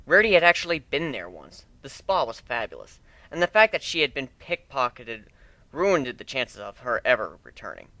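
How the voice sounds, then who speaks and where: A man's voice: mid-range voice, sing-song, moderate pace, bright, forceful tone, no room sound. A man narrating fan fiction as a dramatic reading of a scripted story.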